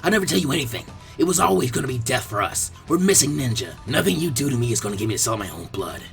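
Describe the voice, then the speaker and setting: A man's voice: mid-range voice, lively intonation, quick pace, vocal fry, soft background music. A man voicing a taunting, defiant outlaw ninja villain with a sneering manner, in a story reading.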